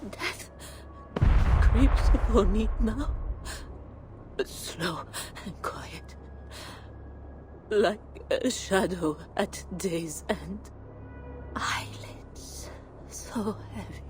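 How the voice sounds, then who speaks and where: A woman playing a mortally wounded noblewoman in a dramatic game cutscene, weak, breathy and halting. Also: medium pitch, noisy background. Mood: fading, resigned. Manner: halting, slow, drawn-out. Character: dying noblewoman, mortally wounded, fearful and fading.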